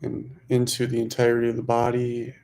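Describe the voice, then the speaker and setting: A man leading a guided meditation as a teacher, speaking slowly and softly in short phrases with long pauses. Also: medium pitch, monotone, vocal fry, a little hiss or hum. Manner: slow, hushed, long pauses between phrases.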